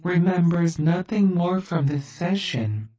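A narrator reading a hypnosis script with slow, soothing, repetitive suggestions. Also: mid-range voice, animated, dark tone. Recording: distant, dull lo-fi sound.

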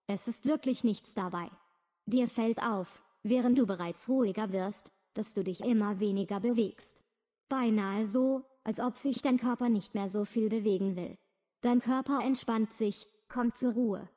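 A woman reading a slow, soothing, repetitive guided hypnosis script. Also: fairly high pitch, dark tone. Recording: long reverb.

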